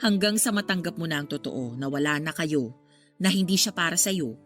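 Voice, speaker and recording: Earnest, caring big sister. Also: female voice, low voice, animated, normal speed, fairly bright tone, soft background music. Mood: earnest advising. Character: caring, frank older sister giving love advice. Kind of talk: Filipino radio drama acting.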